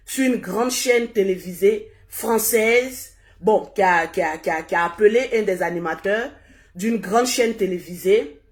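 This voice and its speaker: A woman hosting a casual live stream, hoarse and husky from a cold, repeating herself as she urges viewers to share. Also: on the high side. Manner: repetitive, urging viewers to share. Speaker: livestream host.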